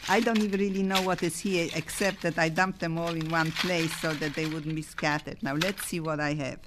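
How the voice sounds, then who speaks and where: A woman's voice: sits low, a little fast. Older woman, scratchy from a cold. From Poland. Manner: reflective, animated when recalling youth. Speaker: interviewee. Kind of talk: oral history recollection.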